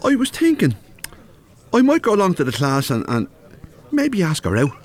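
Older man, Dublin accent, radio play, plain-spoken, easily exasperated, expressive pitch. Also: moderate pace, full character voice. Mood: earnest, grumbling. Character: rough-edged, easily irritated older widowed working man. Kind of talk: radio drama dialogue.

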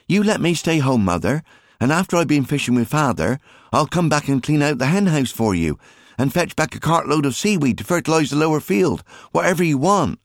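A young schoolboy, acted by a male audiobook reader, whining, eager and insistent. The pitch sits well above a man's natural talk and swings about in a dry, close recording. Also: very animated, brisk, dry recording. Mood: pleading, indignant. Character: young schoolboy pleading and arguing with his mother. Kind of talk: audiobook character acting.

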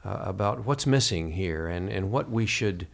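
A man hosting a reflective interview, speaking in a halting, measured way. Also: on the low side, expressive, creaky. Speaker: podcast host.

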